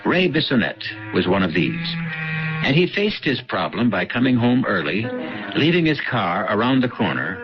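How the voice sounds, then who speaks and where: A man narrating a radio mystery as its host, in a measured, deliberate, wry manner. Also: mid-range voice, big pitch swings. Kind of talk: scripted dramatic narration. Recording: muffled old radio broadcast over music.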